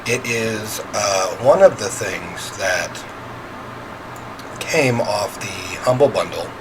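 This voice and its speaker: A man with a lively, wide-swinging delivery hosts a horror visual novel playthrough. He rambles casually and pokes fun at himself, shifting into read-aloud narration, with clear background noise on his home microphone. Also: fairly low pitch, slow pace. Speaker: gaming host playing a horror visual novel. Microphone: standalone microphone at a desk. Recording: noisy home gaming recording.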